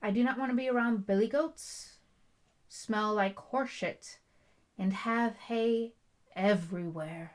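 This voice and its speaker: A woman's voice: medium pitch, slow, natural voice. A woman playing a stubborn bride-to-be in an intimate ASMR roleplay, talking in a blunt, emphatic, rambling storyteller's way. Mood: adamant, playful. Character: headstrong, stubborn bride-to-be, werewolf prince's future wife.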